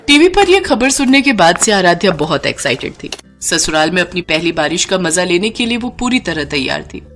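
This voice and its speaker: A woman's voice: on the low side, sing-song, a little fast, a bit bright. Woman narrating a story over music.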